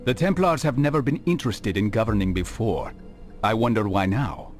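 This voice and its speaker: A man voicing a stern, hooded assassin master in a video game, speaking in a measured, sardonic way. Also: on the low side, faint music behind, close to an everyday voice. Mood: grave, purposeful. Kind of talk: scripted game cutscene acting.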